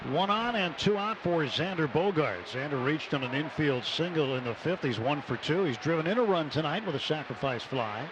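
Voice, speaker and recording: A man doing live baseball play-by-play on radio in measured phrases with clipped count calls. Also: a little high, expressive, highs cut off. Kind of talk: live radio sports commentary. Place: stadium broadcast booth. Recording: noisy live ballpark radio broadcast. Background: ballpark ambience.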